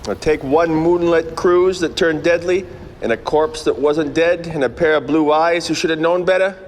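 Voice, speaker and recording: An actor playing a weary, cynical hard-boiled private eye, narrating a noir radio play in a wry, deadpan way. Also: male voice, fairly high pitch, normal speed, lightly acted. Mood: ominous, wry. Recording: echoey hall, background noise.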